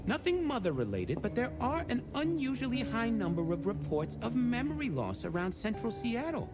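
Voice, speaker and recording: Flirty, swooping records clerk. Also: male voice, very high-pitched, sing-song, normal speed, exaggerated voice. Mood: intrigued, informative. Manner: coy, flirtatious, theatrical. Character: flirty, theatrical male police records clerk, playfully helpful. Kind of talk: video game dialogue acting. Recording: music behind the voice.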